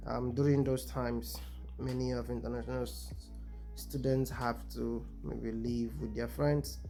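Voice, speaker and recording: A man from Nigeria, a PhD student, telling his own story in a hesitant way with many pauses and ums. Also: mid-range voice, level tone, creaky, mellow, faint music behind. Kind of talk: personal testimony.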